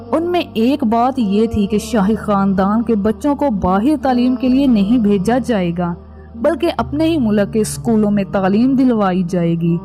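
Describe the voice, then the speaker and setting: A woman reading scripted documentary narration as the narrator of a biographical history piece. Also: medium pitch. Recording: background music under voice. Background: music.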